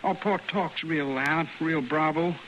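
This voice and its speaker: An earnest country boy of the Old West, nearly grown, speaks in a fairly high, hesitant rural Western drawl. He sounds plain and wistful, in a radio western with dull, old sound. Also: a little slow, fairly bright tone. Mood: troubled. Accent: American rural Western. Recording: dull, lightly noisy old radio recording.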